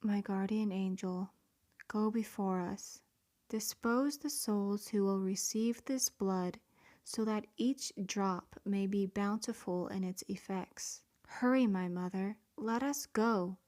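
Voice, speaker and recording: A woman reads a devotional prayer at a very unhurried pace. She sounds earnest and pleading. Some of her words fade into a soft whisper. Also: medium pitch. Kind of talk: slow devotional prayer reading.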